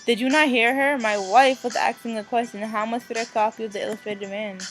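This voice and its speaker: A woman's voice: on the high side, fairly even intonation, fairly quick, natural voice, poor audio. A woman voicing a volatile, protective husband in a visual novel, blunt and confrontational. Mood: indignant. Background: music.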